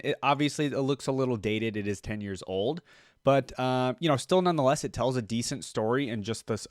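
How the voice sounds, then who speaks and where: A man's voice: on the low side, no echo, studio quality. A man hosting a reaction video, giving casual, rambling commentary as he thinks aloud and checks in with the viewer. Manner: rambling, thinking aloud, seeks agreement with "right?".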